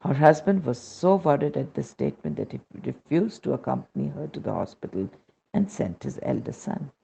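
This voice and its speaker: A very husky man reads a devotional testimonial from a script. His voice is heavy with creak and swings noticeably in pitch as he narrates. The recording is dull and lo-fi. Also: warm tone.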